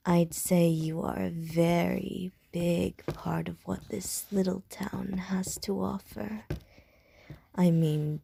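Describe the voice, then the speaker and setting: A woman's voice: fairly low pitch, full character voice. A woman voicing a whispering, flirtatious ancient vampire lady. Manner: soft breathy whisper, teasing. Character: ancient flirtatious female vampire, seductive and teasing. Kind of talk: ASMR audio roleplay.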